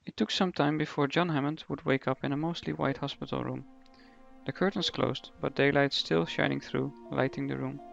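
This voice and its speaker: A man's voice: medium pitch, fairly even intonation, moderate pace, creaky. A man narrating a radio play in a scripted storytelling style, with dull, lo-fi sound. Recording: dull lo-fi sound under loud music.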